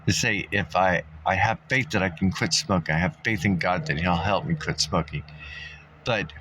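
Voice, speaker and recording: A man's voice: low-pitched, fairly bright tone, a little hiss or hum. A man vlogging in a meandering, casual monologue, congested and coughing now and then, thinking aloud with many fillers. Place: sitting in a dusty spot, maybe in a car.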